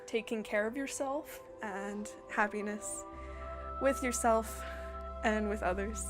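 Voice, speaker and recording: An eighteen-year-old woman from Canada, speaking softly and hesitantly, with fillers, as a student in a documentary interview. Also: medium pitch, slow, over music. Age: 18, young adult.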